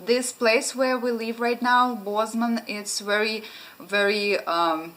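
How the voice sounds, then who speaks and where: A woman's voice: fairly high pitch, steady tone, slow, a bit bright, rough recording. A young woman from Ukraine with a Russian accent, chatting casually to camera at home, hesitant with many ums and restarts. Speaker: vlogger. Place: small room at home, indoors.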